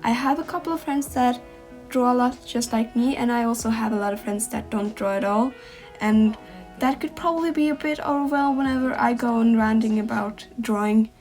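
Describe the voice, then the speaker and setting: Hesitant Norwegian teenage girl, soft music. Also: fairly high pitch, brisk. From Norway. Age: teens. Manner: rambling, hesitant, frequent ums. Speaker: art channel host. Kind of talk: casual chat to camera.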